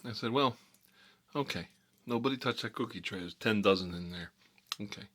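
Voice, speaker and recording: A man playing a gruff, sardonic mob boss who rambles and repeats himself through mock threats, in an ASMR-style comic monologue with a husky voice. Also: lowish voice, normal speed, a bit bright. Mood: sarcastic, needling.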